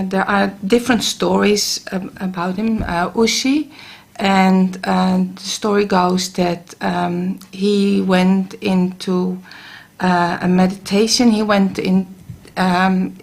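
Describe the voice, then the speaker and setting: A middle-aged woman with a Dutch accent speaks slowly and unhurriedly, her pitch sitting in a middle range. She talks haltingly, with frequent ums and restarts. She is a guest in a radio studio. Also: gravelly, a little mellow. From Netherlands. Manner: hesitant, many ums and restarts. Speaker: guest, Reiki practitioner. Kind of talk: casual radio interview.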